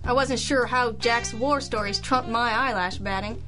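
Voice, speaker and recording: Actress doing wry lady gumshoe, highish voice, old radio detective show, music under it. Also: unhurried, lightly acted. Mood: wry narration. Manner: wry, slangy, hard-boiled. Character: wry, sassy female hard-boiled private detective, narrating and talking.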